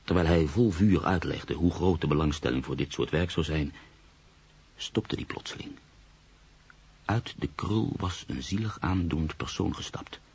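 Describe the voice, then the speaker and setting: A man acting in a radio drama as a brooding, sceptical storyteller, husky and gravelly, with a measured, literary, pensive delivery. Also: very deep voice, a little mellow, heavily acted. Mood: reflective, uneasy. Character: brooding, sceptical man narrating his own uneasy story. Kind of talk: radio drama narration and dialogue.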